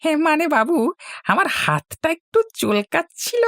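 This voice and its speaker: A woman's voice: extremely high pitch, fairly bright tone, no room sound, clean studio sound, exaggerated voice. A woman voicing a greedy, wheedling male village henchman in a cartoon, with an obsequious manner. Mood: fawning, wheedling. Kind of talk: cartoon voice acting. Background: background music.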